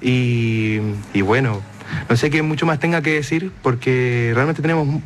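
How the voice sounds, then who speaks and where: A man's voice: medium pitch, normal speed, a little hiss or hum. A man with a Chilean accent, a songwriter giving modest, casual answers in an interview. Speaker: singer-songwriter, interview guest.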